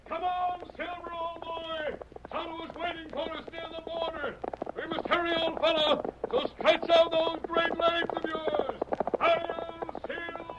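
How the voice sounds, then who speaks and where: A man's voice: very high voice, little pitch movement, measured pace, long pauses, highs cut off. A man playing a hearty masked cowboy hero urging on his horse in an old-time radio drama. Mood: urgent, rousing. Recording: echoey, noisy, distant old radio recording.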